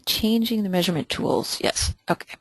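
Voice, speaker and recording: A webinar instructor answering viewer questions during a live software demo, hesitant and thinking aloud with many pauses. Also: medium pitch, no echo, rough recording.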